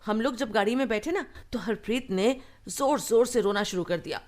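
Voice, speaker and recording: A woman's voice: fairly high pitch, moderate pace, darkish tone, close to an everyday voice. A woman playing a young woman fondly telling childhood memories in a radio play. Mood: amused, reminiscing. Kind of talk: radio play acting, storytelling.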